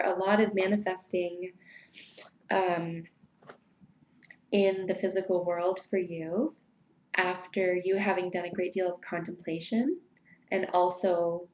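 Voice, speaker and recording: A woman giving a weekly tarot reading in an unhurried, soft way, sounding stuffy from allergies. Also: on the low side, steady tone, roomy sound, low-quality recording. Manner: soft, unhurried, spiritual invocations. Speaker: tarot reader.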